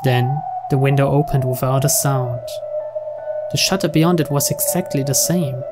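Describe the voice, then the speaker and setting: A man's voice: mid-range voice, fairly even intonation, normal speed. A man reading visual novel narration aloud as the host of a game playthrough. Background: clear game music.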